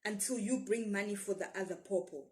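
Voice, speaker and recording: A woman voicing an angry, mean market fruit seller who scolds and shouts in a children's story. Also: mid-range voice, brisk, natural voice. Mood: stern, threatening.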